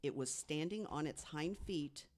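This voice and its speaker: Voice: medium pitch, a little slow, darkish tone. A podcast panelist reading prepared mystery stories aloud, with conversational asides.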